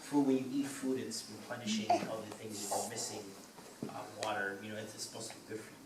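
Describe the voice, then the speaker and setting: A man's voice: lowish voice, fast talker. A man from Eugene, Oregon, asking a long, rambling question from the floor at a conference panel. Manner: rambling, animated, repeats himself. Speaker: audience member asking a question. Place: conference hall. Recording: echoey hall, distant mic, loud noise.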